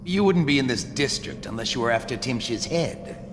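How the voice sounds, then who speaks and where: An actor playing a vengeful male stranger who talks slow and cold. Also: mid-range voice, animated, long reverb tail, very noisy, natural voice.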